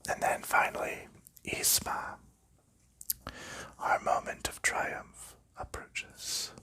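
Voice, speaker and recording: A man softly narrating an ASMR unboxing in a breathy whisper, explaining things gently and without hurry, close to the microphone. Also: takes it slowly. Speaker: ASMR host.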